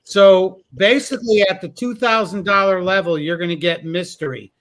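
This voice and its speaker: A man's voice: fairly even intonation, moderate pace, a bit bright. A man hosting a live call-in panel stream, casual and teasing, joking about selling courses. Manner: joking, teasing sales pitch.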